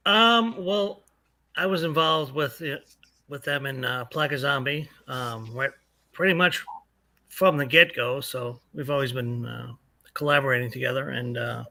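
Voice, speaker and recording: Bright-voiced, halting man. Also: medium pitch, vocal fry. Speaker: actor guest. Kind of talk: casual chat.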